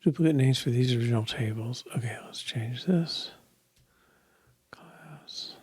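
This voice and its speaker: A man's voice: mid-range voice, a little slow, a little mellow, breathy edge. Older man muttering over live coding. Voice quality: voice a bit off, slightly strained. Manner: muttering half-whispered asides while coding. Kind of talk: casual live coding commentary. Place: small home office, indoors.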